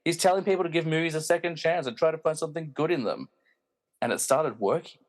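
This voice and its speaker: A man's voice: fairly high pitch, fast, natural voice. An actor playing a bitter, villainous snobbish alter ego in a radio play, pompous and self-important as he rants. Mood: aggrieved ranting. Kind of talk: hobby podcast radio play acting.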